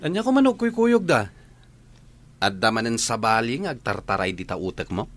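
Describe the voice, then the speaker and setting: A man's voice: on the low side, very animated, moderate pace, a bit bright, faint music behind. A man playing an exasperated, mocking character who rebukes someone in an audio drama. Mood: incredulous. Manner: mocking, scolding. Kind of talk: Filipino audio drama acting.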